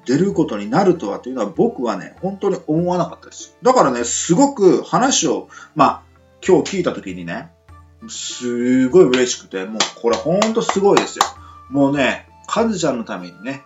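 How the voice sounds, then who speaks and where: Male radio host, teasing and expressive, casual delivery over faint music, lo-fi sound. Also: a little high, moderate pace. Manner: animated, teasing, frequent backchannels. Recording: muffled lo-fi audio with music underneath.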